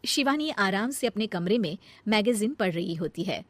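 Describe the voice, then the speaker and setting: A woman's voice: mid-range voice, expressive, moderate pace, dry recording. A woman storyteller reading scripted narration of a comic family story.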